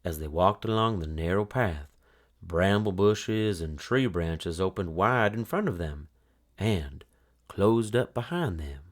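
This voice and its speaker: Casting: male children's book narrator, lowish voice, very lively intonation, expressive read-aloud delivery. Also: slow pace. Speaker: storybook narrator.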